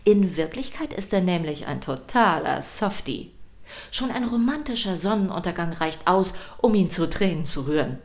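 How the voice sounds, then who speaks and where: A woman's voice: on the low side, expressive, normal speed. A woman hosting a children's podcast, reading scripted narration in a playful, emphatic storytelling manner.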